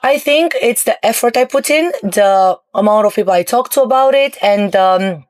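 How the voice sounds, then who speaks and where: A woman in her twenties from Romania, answering interview questions in a relaxed way, often pausing with ums and uhs. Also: medium pitch. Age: 20s. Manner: hesitant, many ums and uhs. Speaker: entrepreneur guest. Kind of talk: casual interview. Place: on board a yacht.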